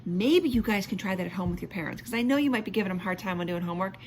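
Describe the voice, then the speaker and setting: A woman teacher reading a storybook aloud to children with expressive emphasis, pausing to explain and ask questions. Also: medium pitch, slight background noise.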